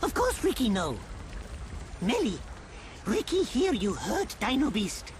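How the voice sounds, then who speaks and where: A woman's voice: a little high, huge pitch swings, a little slow, a little hiss or hum. A woman voicing a small, furry, childlike creature hero in a video game, boastful and eager, speaking in exclaiming broken third-person sentences. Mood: confident. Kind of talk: video game cutscene acting.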